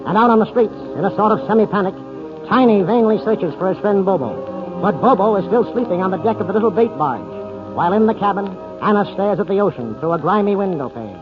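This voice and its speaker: A man's voice: sits high, lively intonation, quick pace. A man giving scripted narration for a 1940s radio drama. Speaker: announcer and narrator. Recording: muffled 1940s radio broadcast. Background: music.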